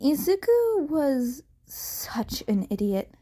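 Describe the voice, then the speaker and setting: A woman with a fairly high voice narrates fiction from a script. Her reading is very slow and expressive, in the manner of an amateur audiobook.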